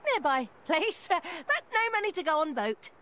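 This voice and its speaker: Give this little furry inventor a very high, squeaky voice. He is a childlike, excitable video game creature who talks slowly in broken grammar and calls himself by name. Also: full character voice. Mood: sheepish, fibbing. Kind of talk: video game character acting.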